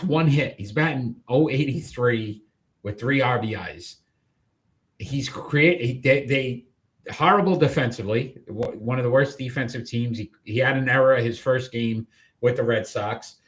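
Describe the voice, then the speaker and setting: A man's voice: mid-range voice, a little slow. A man with a Rhode Island accent hosting a live sports talk show, chatting casually and often restarting his sentences.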